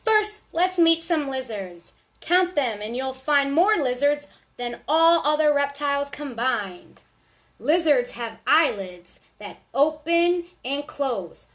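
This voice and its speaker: Slow, high-voiced woman reading to children. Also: lively intonation, fairly bright tone. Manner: sing-song, deliberate reading with asides to children. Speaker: children's storytime host. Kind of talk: read-aloud storytelling for young children.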